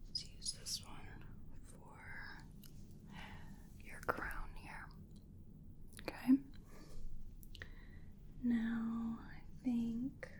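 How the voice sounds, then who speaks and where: A woman doing soft-spoken ASMR roleplay as a crystal healer, breathy and mostly whispering, guiding a client in a soothing, repetitive way. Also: fairly high pitch.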